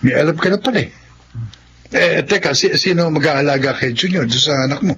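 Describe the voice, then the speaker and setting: A man's voice: a little high, fairly quick, fairly bright tone. A man playing a curious, concerned friend in a Filipino radio drama, plain-spoken and questioning. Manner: questioning, hesitant starts. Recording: faint background noise.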